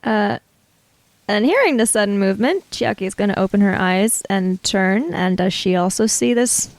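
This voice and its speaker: A woman's voice: medium pitch, lively intonation, moderate pace, dry recording. A woman player in a tabletop game, casually describing what her character does.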